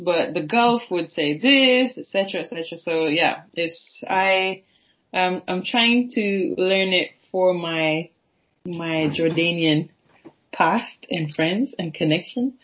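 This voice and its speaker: A woman's voice: on the low side, takes it slowly, muffled recording. A woman chatting casually about learning languages, answering in a friendly way with short replies. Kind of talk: casual conversation.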